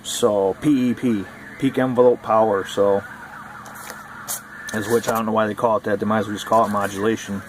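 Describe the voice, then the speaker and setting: A man's voice: lowish voice, level tone, moderate pace, a little hiss or hum. A man talking casually about radio, rambling and repeating himself with many fillers. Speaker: CB radio hobbyist vlogger. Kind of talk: casual explainer talk.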